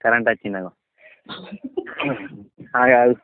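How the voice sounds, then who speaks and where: A man's voice: medium pitch, fairly even intonation, normal speed, no room sound. A man with a Telangana Telugu accent chats casually on the phone, blunt, wary and full of questions. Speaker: friend receiving a prank phone call. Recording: tinny, dry, band-limited phone line.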